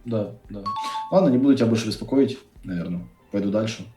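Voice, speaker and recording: Blunt eighteen-year-old man, quick delivery, roomy, distant webcam sound. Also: lowish voice, music in the background. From Saint Petersburg, Russia. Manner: quick, blunt, swears casually. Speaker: university economics student. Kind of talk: casual video chat. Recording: echoey room, far from the mic.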